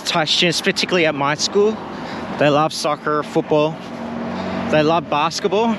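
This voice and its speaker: Chatty male vlogger walking a street. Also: a little high. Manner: chatty, rambling, self-deprecating. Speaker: travel vlogger; teacher. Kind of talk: casual walking commentary. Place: outdoors on a town street and bridge. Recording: street noise behind the voice.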